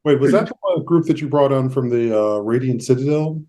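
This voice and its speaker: A man hosting an interview podcast in a conversational, reflective way, with measured and somewhat wordy phrasing. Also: mid-range voice, mellow. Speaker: podcast host, therapist.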